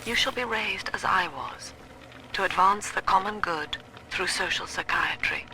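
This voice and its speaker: An actress voicing a calm, cold middle-aged woman psychiatrist who leads a cult in a video game, speaking in a measured, soft, controlled way. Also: medium pitch, slight background noise. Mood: hushed tender. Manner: measured, soft-spoken, controlled and coldly gentle. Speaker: game character. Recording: reverberant with music behind.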